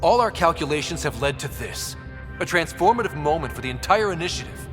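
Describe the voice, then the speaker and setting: An actor playing a determined, commanding young leader of space explorers in a video game. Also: male voice, a little high, lively intonation, fairly quick. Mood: hopeful. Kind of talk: video game dramatic acting. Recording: loud game music behind voice.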